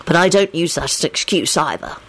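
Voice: medium pitch, lively intonation, brisk, pushed and bright. A storybook reader voicing a mild-mannered young male soldier who speaks courteously and earnestly, a little awkwardly.

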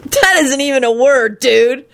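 Woman acting young boy, rude, blunt, slangy slacker, storybook character, high lively pitch. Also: normal speed. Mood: irritated. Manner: drawling slang, says dude and man constantly.

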